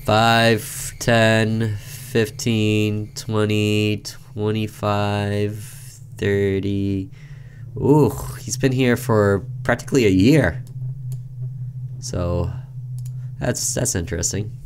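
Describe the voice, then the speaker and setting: A man's voice: lowish voice, little pitch movement, drawn-out words, a little hiss or hum. A man doing casual let's-play commentary, reading a game's narration aloud and reacting informally as he goes. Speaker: gaming video host reading a game's text aloud.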